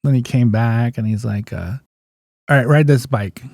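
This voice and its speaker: Male, 50s, interview guest. Mid-pitched, mellow delivery, anecdotal and plain-spoken, casual storyteller. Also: normal speed, gravelly, dry recording, studio quality. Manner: anecdotal, acts out remembered dialogue, folksy plain-spoken phrasing. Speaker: guest, physical therapist and clinic owner.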